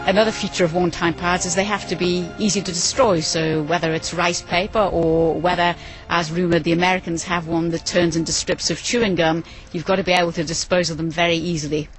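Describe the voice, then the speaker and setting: A woman's voice: fairly low pitch, fairly even intonation, fairly quick, poor audio. Woman expert over faint music. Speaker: expert interviewee. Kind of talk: measured explanatory talk.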